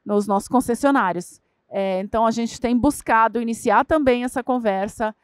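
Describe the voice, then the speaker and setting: A woman's voice: fairly high pitch, normal speed, clear, resonant tone, no echo, clean studio sound. A woman with a Brazilian Portuguese accent speaking on a conference panel as a corporate diversity lead. She answers conversationally and often adds tag questions and fillers. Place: conference stage.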